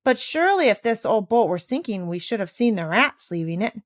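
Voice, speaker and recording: A woman voicing a gang of rough male pirates who are rowdy and panicky in a storybook reading. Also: mid-range voice, big pitch swings, a little fast, no room sound, clean studio sound, lightly acted.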